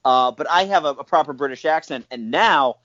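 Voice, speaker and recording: A man's voice: a little high, brisk, no room sound, muffled recording. A man co-hosting a casual movie podcast, laughing often and putting on mocking voices. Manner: animated, laughs often, mocks accents. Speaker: podcast co-host. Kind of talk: casual chat.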